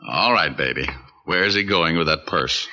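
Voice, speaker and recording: A man's voice: rumbling low, lively intonation, a little slow, sharp tone, exaggerated voice. An American man playing a cocky, tough private detective in 1940s radio drama style, wry, flippant and hard-boiled. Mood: firm. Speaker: lead actor and narrator. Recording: muffled 1940s radio recording with music.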